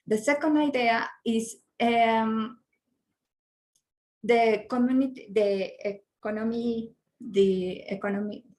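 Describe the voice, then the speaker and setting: A woman's voice: a little high, takes it slowly, darkish tone, poor audio. A woman from Colombia with a Colombian Spanish accent whose first language is Spanish, speaking hesitantly in a discussion and searching for English words between many fillers. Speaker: workshop participant. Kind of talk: halting discussion contribution.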